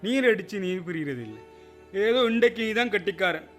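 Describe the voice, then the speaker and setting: An actor with a Sri Lankan Tamil accent plays a firm, stubborn older uncle in a radio drama. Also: male voice, high voice, sing-song, brisk, a bit bright, soft background music. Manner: firm, resolute.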